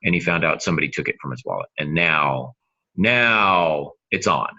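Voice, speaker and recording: A man's voice: very deep voice, expressive, normal speed, sharp tone, muffled recording. A man co-hosting a writing podcast, explaining things in a casual way with hypothetical examples. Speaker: writing podcast co-host and novelist. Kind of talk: casual instructional discussion.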